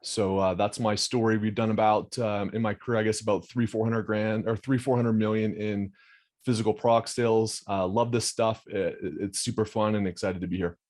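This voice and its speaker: A man casually introducing himself as a judge, relaxed and enthusiastic with many fillers. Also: fairly low pitch, normal speed. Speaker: judge on a pitch panel.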